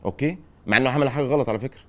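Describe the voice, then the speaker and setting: A man's voice: mid-range voice, rapid-fire. A middle-aged man with an Egyptian accent hosting a chat and giving advice, often checking in with rhetorical questions. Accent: Egyptian Arabic. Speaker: podcast host, former football director.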